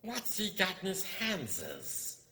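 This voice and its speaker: Small slimy storybook creature: throaty hissing whisper, very slow, sly and hungry, drenched in cavernous reverb. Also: male voice, high voice, wildly swooping pitch, pushed and bright, pitched above the performer's own voice, heavily acted. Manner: slow, muttering to himself. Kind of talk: storybook character voice. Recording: echoey, long reverb.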